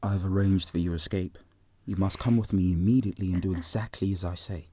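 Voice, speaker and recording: A calm Sikh man who guides pilgrims to safety , played by a male actor. His voice sits low, and he gives instructions earnestly, as in a radio play. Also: brisk, husky, no room sound. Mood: urgent, instructing. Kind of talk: audio drama dialogue.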